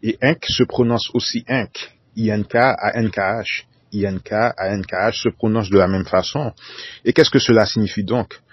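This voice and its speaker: A man with a low, husky voice gives a formal solo monologue, often repeating whole sentences and spelling words out letter by letter. The recording is dull and lo-fi, with a dry sound. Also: steady tone, normal speed, no room sound. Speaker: conspiracy talk narrator.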